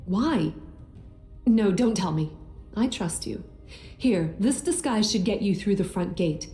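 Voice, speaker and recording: Mellow-voiced, trusting accomplice. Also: female voice, medium pitch, lively intonation, takes it slowly. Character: loyal, trusting female accomplice with a disguise. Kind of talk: fantasy video game acting. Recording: roomy echo, faint music behind.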